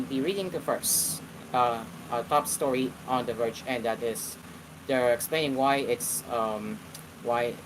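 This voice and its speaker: Halting young man hosting over background noise. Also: mid-range voice. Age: 20s. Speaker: host of an online talk show. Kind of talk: casual live commentary and reading aloud.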